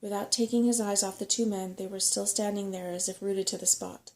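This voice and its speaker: A soft-spoken woman reading a bedtime story in a gentle, unhurried ASMR style. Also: mid-range voice, steady tone. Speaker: audiobook-style reader.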